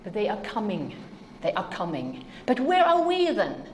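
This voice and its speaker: A woman's voice: medium pitch, huge pitch swings, moderate pace, slight background noise. A middle-aged woman with a Norwegian accent, giving an earnest, emphatic conference lecture with rhetorical questions to the audience. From Norway. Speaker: speaker.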